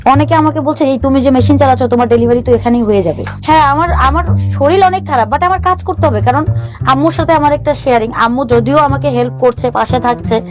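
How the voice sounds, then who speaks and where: A woman in a fairly high voice and tells her own story with earnest, halting care. She often breaks off and restarts her sentences. Soft music plays faintly behind her. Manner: earnest and halting, with restarts and English words mixed in. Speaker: guest sharing her life story. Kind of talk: personal storytelling on a talk show.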